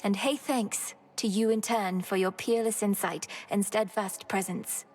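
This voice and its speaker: A woman's voice: medium pitch, fairly even intonation, a little slow, a little mellow, soft background music, close to an everyday voice. A woman voicing an earnest young witch princess who speaks formally and politely to her elders.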